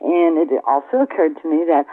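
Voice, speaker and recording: A woman speaks over a narrow, tinny phone line as an interview guest. She explains things in careful, deliberate phrases, choosing her words precisely. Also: sits low, lively intonation. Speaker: interview guest, occult author. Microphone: telephone.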